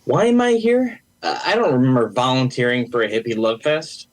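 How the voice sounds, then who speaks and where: A man plays a reluctant, sarcastic grouch in an audio play. The pitch swings widely, and the delivery is put-upon. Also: sing-song, a little fast, natural voice. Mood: annoyed. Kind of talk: amateur audio play acting.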